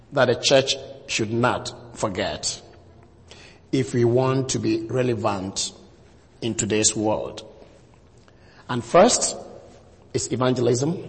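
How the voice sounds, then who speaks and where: A Ghanaian man in his fifties, a visiting preacher speaking to a church congregation, slow and deliberate, leaning on key words. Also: medium pitch. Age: 50s. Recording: long reverb of a hall.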